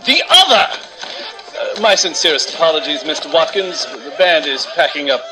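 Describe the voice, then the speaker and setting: A man's voice: fairly high pitch, sing-song, moderate pace, a crowd in the background, low-quality recording. A man playing a suave, busy big-band leader with grand, formal speech in an audio drama. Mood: hurried, bossy.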